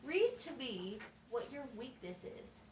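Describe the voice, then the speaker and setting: Puppet-show schoolteacher, played by a woman: patient, encouraging, animated intonation, explains step by step; echoey, distant recording. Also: sits high, a little slow. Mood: encouraging, instructive. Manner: instructional, simple step-by-step explaining with questions. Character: patient, encouraging female special education teacher at wizard school. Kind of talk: amateur puppet show acting. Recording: echoey, distant mic with faint noise.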